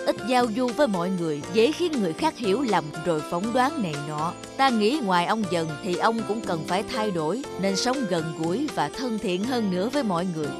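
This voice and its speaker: A woman's voice: medium pitch, lightly acted. A woman voicing a stern, authoritative old-time magistrate. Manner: authoritative, measured, lecturing. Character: stern, wise male magistrate in old Vietnam. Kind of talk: cartoon folk tale voice acting.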